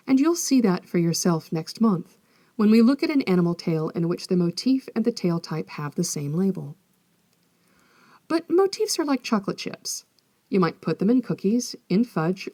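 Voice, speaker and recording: A woman hosting an educational podcast, reading scripted narration in a measured, articulate, explanatory manner. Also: on the low side, mellow, no echo. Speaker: podcast host, folklorist.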